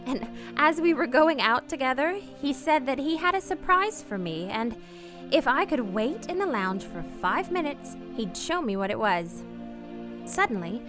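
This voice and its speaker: A genteel married woman in a radio drama, flustered and full of guilt. Her voice sits fairly high and swings widely in pitch. Music plays clearly behind her. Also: lively intonation, measured pace. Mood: wistful confession. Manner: genteel, emotional, confessional. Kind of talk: radio play acting and narration.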